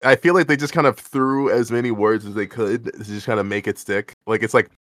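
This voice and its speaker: A man with a fairly low voice chats as a podcast co-host. His delivery is informal and a bit rambling. The recording is tight and dry. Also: steady tone, fairly quick, fairly bright tone, no echo. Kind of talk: casual chat.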